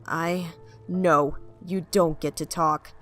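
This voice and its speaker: A woman's voice: low voice, steady tone, slow, soft background music. A woman voicing a cruel, sneering man who hisses his words with contempt. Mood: contemptuous. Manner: hissing, contemptuous.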